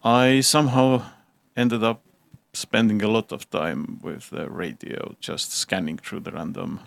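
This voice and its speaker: A man from Estonia with an Estonian accent answers interview questions as a guest. His voice is fairly low and gravelly, recorded close and dry. He often stammers on the opening word, then talks on thoughtfully. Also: lively intonation, measured pace, a bit bright, dry recording. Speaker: podcast guest, radio amateur.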